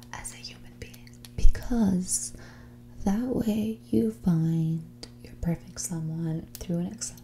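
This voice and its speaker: A woman's voice: on the low side, vocal fry, mellow, a little hiss or hum. Woman acting a whispering, snobbish young rich heiress. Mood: matter-of-fact, dismissive. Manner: condescending, blunt, drawling with frequent "like". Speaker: ASMR roleplay performer. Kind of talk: whispered and soft-spoken ASMR roleplay.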